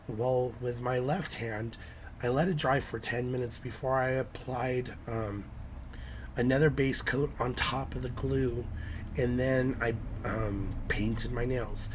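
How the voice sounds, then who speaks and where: A hoarse young man with a raspy, scratchy voice, talking through a casual nail-art how-to with lots of ums and restarts. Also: mid-range voice. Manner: hesitant, many ums and restarts. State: hoarse from illness.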